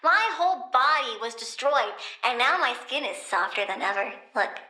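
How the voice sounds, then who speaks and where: An actress with an American accent playing a bratty, curious young female plant-human supervillain in a video game, girlish, expressive, blunt and flippant. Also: high-pitched, moderate pace, roomy sound, full character voice. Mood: musing. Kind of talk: animated video game voice acting.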